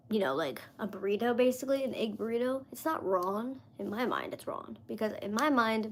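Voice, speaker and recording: A woman in her mid-thirties chatting casually on a live stream, rambling with lots of fillers as she talks to viewers. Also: mid-range voice. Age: 30s.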